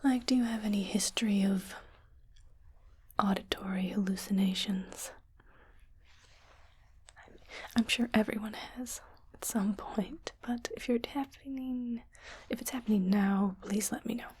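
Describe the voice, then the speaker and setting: A woman playing a calm, clinical doctor in an ASMR roleplay on an alien planet, whispering slowly in a breathy, measured way. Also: medium pitch. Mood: curious, focused. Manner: slow, measured, softly clinical.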